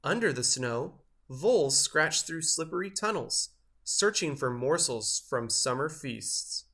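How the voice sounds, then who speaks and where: Guy narrating a kids storybook, bright clear-cut voice, takes it slow, careful phrasing. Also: on the high side, lively intonation. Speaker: storybook reader. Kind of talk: expressive children's read-aloud narration.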